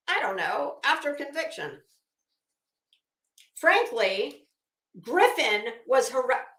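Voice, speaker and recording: An American woman hosting a commentary show, reading documents aloud dramatically and adding casual, emphatic asides with drawn-out phrasing. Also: high voice, fairly bright tone, echoey room.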